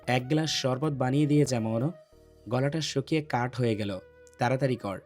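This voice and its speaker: A man's voice: medium pitch, moderate pace, a little mellow, faint music behind, lightly acted. A man playing a bossy, protective young man in an audio love story, speaking in a commanding, matter-of-fact way. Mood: casually commanding.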